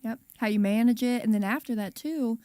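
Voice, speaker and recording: A woman's voice: fairly high pitch, fairly quick, warm tone, no room sound, studio quality. A woman co-hosting a talk show, upbeat and prompting her partner with short replies. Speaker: co-host. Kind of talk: casual chat.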